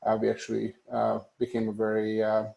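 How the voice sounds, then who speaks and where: A man with a Hungarian accent answers a panel question over a webcam from an office. His voice is gravelly and flat, and his speech stops and starts around many fillers. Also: fairly low pitch. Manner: halting, many fillers and restarts. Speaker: panel guest, tech executive. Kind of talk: video call panel answer. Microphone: video call webcam.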